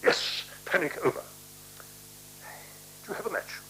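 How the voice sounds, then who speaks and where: An actor playing a chummy, fussily polite newcomer in a 1970s radio play, with a chatty, coaxing, courteous manner. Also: male voice, measured pace, sharp tone. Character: chummy, fussily polite male newcomer making himself at home. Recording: noisy, humming 1976 radio recording.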